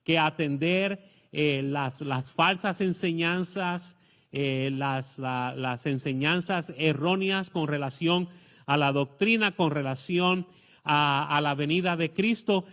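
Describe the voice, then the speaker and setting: A middle-aged man with a Puerto Rican accent preaching a sermon, pausing often with fillers and restarts. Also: fairly high pitch, fairly bright tone. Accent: Puerto Rican Spanish. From Puerto Rico. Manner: hesitant, many fillers and restarts. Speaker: pastor.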